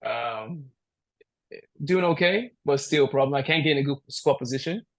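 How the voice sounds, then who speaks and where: A man's voice: medium pitch, normal speed, fairly bright tone. A man from Nigeria chatting casually as an interview guest on a video call, easygoing and joking about himself. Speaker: powerlifter, podcast guest. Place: indoor room at home, video call. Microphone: video call, device at a short distance.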